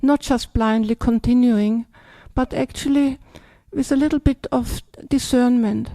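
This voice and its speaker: An older woman with an Austrian German accent gives a relaxed talk. Her voice sits fairly high but sounds mellow and soft. It is recorded close and dry, with no room sound, and she keeps checking in with her listeners. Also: measured pace, no echo. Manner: chatty, tags sentences with "yeah?" and "yes?". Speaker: Buddhist nun. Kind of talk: dharma talk.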